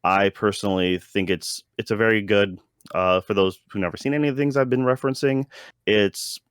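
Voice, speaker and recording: A man's voice: on the low side, steady tone, a little fast, clean tone, no room sound, clean studio sound. A man co-hosting a casual review chat, talking in a hesitant, rambling way and often interrupting himself. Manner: hesitant, rambling delivery with many self-interruptions. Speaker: podcast co-host reviewing a show.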